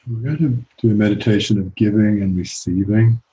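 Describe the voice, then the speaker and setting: A man leading a guided meditation as a teacher, speaking calmly and gently with long pauses. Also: fairly low pitch, dull audio.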